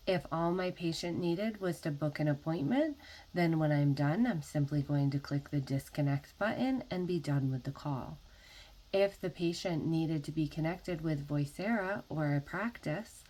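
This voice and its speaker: A woman narrating an instructional software tutorial as a trainer, explaining methodically one step at a time. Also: low-pitched, low-quality recording.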